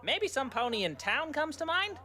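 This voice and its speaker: An actor voicing an exuberant, playfully sinister male party pony in an animated showman's manner for a fan audio drama. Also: high voice, moderate pace, faint music behind, full character voice. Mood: flirty, eager. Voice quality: bright.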